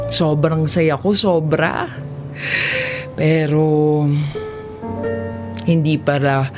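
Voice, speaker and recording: A woman with a Filipino accent narrates a first-person life story in a measured, confessional audiobook drama style. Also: low voice. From Philippines.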